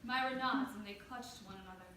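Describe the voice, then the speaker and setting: A woman's voice: a little high, fast talker, pushed and bright. Distant, echoey woman reading stage directions. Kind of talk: scripted stage directions read aloud. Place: indoor stage, recorded from a distance. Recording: echoey, noisy room from far off.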